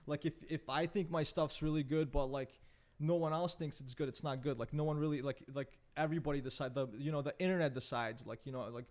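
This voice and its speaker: A man in his late twenties, from Serbia, talks as a guest on a casual podcast. His voice sits a bit higher than most men's, and he rattles on at a very fast clip, stringing thoughts together with "like" and "dude.". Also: fairly even intonation, a little mellow. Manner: rapid rambling with constant fillers like "like" and "dude". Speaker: podcast guest, photographer and content creator.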